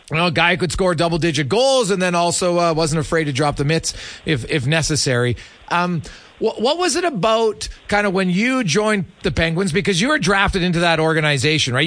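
A male sports radio host with a forceful, bright and fairly high voice. He is chatty and roams through long questions, recorded close and dry in a studio. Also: dry recording. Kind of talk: conversational sports interview.

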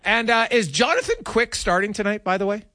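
A man hosts a casual sports talk show. His voice sits a bit high and has a bright, forceful edge. The sound is close and dry, as from a studio microphone. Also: sing-song, a little fast, no room sound. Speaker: sports radio host.

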